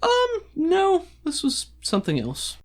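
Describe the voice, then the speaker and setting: A game master narrating a tabletop game in an improvised, casual way, with halting asides. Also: very high-pitched, huge pitch swings.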